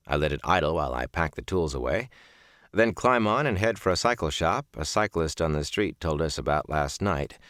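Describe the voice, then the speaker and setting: Male narrator, low register with lively intonation, for reflective, scripted first-person audiobook. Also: dry recording, clean studio sound.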